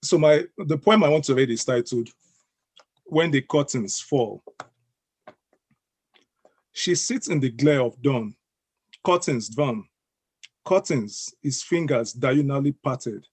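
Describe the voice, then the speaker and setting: A man with a croaky voice from the cold, reading his own poem slowly and deliberately on an online call. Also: mid-range voice, expressive, darkish tone, dry recording. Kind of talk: poetry reading. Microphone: online video call audio.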